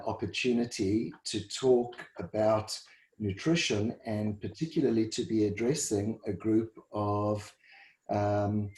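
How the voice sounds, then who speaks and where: Low-voiced South African man, roomy sound. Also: a little mellow. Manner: halting, often repeats words while searching for phrasing. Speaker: guest dietitian and first responder. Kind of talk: conversational interview answers. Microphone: video call. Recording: roomy echo.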